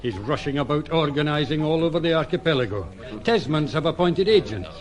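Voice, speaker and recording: A man's voice: on the high side, lively intonation, fairly quick, natural voice. An actor playing an enthusiastic, confident male colonial businessman in an audio drama, speaking assuredly. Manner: assured, declarative.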